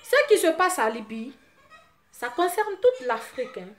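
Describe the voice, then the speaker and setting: A woman from Guinea with a Guinean French accent, Susu her first language, talking to her fans in an impassioned vlog, her voice often rising into loud, forceful outbursts. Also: high-pitched, very animated, measured pace. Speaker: vlogger addressing her fans. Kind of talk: impassioned monologue to camera.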